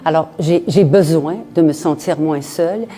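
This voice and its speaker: A woman writer from Quebec speaking slowly and pensively in an interview, with some hesitations. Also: low-pitched, warm tone. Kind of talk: reflective interview testimony.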